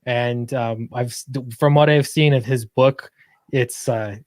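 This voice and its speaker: A man's voice: mid-range voice, steady tone, measured pace, a little mellow, no room sound. A man from Utah chatting casually as a guest comic creator. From Utah, United States. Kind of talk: casual chat.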